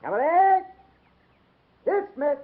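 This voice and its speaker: High, bellowing cavalry order-caller, old radio. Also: male voice, very animated, heavily acted. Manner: shouted, drawn-out parade commands. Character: bellowing cavalry drill sergeant giving orders. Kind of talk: 1950s radio drama acting. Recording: muffled, noisy 1950s radio recording.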